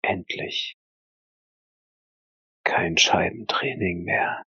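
A man narrating an audio drama in a dramatic scripted style, husky and gravelly, with terse, weighty phrases and pauses. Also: sits low, bright, forceful tone, no echo, lo-fi sound.

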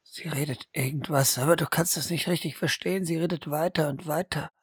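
Woman, game master, atmospheric second-person narration, measured fragments, lower pitch, dry close sound. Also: fairly even intonation, husky, no echo.

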